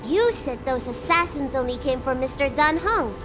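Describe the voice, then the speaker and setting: A woman's voice: sits high, lively intonation, normal speed, music in the background. A woman voicing a little girl healer in a fantasy game, youthful, earnest and polite. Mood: earnest, grateful.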